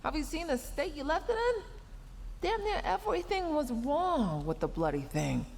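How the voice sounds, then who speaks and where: A woman's voice: high-pitched, big pitch swings, normal speed, creaky, darkish tone, in a large hall, exaggerated voice. A woman voicing a tsundere mech engineer in a sci-fi audio roleplay, sarcastic and exasperated, scolding while secretly caring. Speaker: roleplay voice performer.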